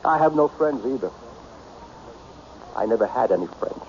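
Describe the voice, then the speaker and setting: Brooding, expressive millionaire in radio drama played by a man. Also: medium pitch, normal speed, lightly acted. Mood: bitter, dejected. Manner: blunt, emphatic, brooding. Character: lonely self-made millionaire businessman, melancholy, brusque, self-pitying. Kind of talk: old-time radio drama acting. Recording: dull, noisy old radio broadcast.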